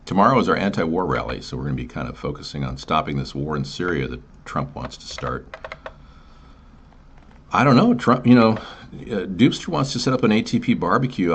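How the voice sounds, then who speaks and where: A man's voice: very deep voice, husky. A man hosting a casual live chat show, talking in a rallying, folksy way.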